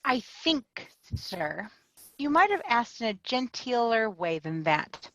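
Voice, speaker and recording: A woman's voice: a little high, very animated. A woman reading the part of a petulant, greedy young lady over a video call, drawing out her lines. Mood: sulky. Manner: petulant and unhurried. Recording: dull, dry video-call audio.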